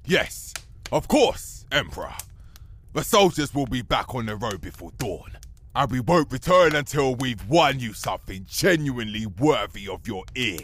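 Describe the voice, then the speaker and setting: Casting: werewolf general, comic fantasy audio drama. Husky bass, swooping delivery, blustering yet servile. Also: male voice, very animated, normal speed, fairly bright tone. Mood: boastful, servile. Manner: obsequious, bragging, theatrical. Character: fearsome male werewolf army commander, boastful yet servile.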